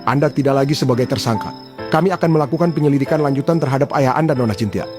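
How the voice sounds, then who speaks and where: A man voicing a calm, firm police officer in an animated drama, speaking formally and with authority. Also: mid-range voice, racing, faint music behind, close to an everyday voice.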